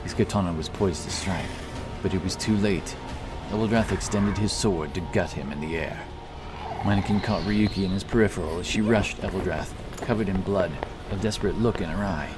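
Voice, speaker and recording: A very low-voiced man narrating a fantasy audiobook with steady, dramatic delivery. Loud music runs underneath his voice. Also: moderate pace.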